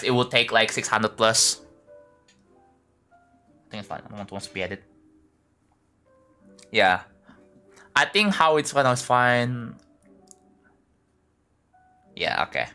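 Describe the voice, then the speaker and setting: Bright-voiced Indonesian-accented man. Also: medium pitch, big pitch swings, measured pace, pauses often, soft background music. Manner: thinks aloud, talks to chat, many fillers and restarts. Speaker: streamer building a game level. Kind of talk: casual livestream chat while working.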